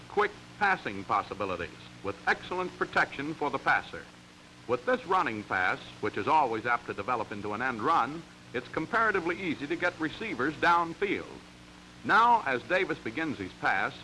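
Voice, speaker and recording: A man's voice: fairly high pitch, big pitch swings, normal speed, sharp tone, slight background noise, poor audio. An American man reading scripted narration for a 1940s sports training film in an emphatic, instructional way, on a vintage soundtrack. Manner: crisp, emphatic, instructional delivery.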